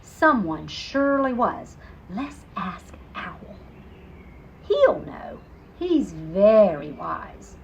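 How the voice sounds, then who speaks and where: A woman voicing a gentle, wondering young female cat in an earnest storybook reading. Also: medium pitch, sing-song, extremely slow, creaky, slight background noise, pitched below the performer's own voice, heavily acted, rough recording.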